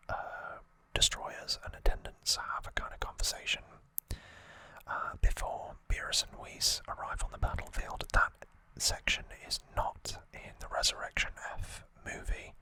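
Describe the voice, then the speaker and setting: A man speaks in a soft, breath-only whisper with a bright, sharp edge. He hosts ASMR, recapping trivia informally and haltingly, often correcting himself as he explains. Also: rough recording. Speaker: ASMR host.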